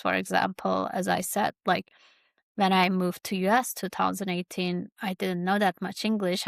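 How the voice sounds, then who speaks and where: A young woman talks slowly through a personal story, her voice carrying a gravelly fry. She keeps a fairly level tone and stops often, trailing off and restarting. The sound is close and dry. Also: mid-range voice, no echo. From Kurdish region of Turkey. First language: Kurdish. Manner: hesitant, many fillers and restarts. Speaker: podcast host.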